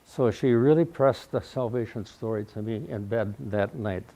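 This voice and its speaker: A man around ninety with a mellow voice, telling his life story to a live audience in a folksy way. He speaks loudly because he has no microphone and often clears his throat. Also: medium pitch, moderate pace. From Wausau, Wisconsin, USA. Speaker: guest speaker. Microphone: no microphone, recorded in the room.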